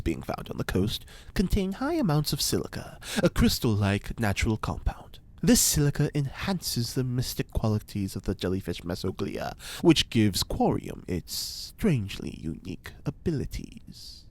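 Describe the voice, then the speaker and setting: An actor playing an eccentric, pompous ex-cult leader and scientist in an audio drama, speaking formally and precisely with dramatic pauses. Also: male voice, medium pitch, lightly acted. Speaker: fictional scientist being interviewed.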